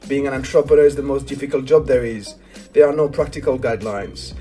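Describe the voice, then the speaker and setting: Man, podcast host, announcer-style delivery, dark mellow tone, music underneath. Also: fairly high pitch. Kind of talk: scripted podcast intro and interviewing.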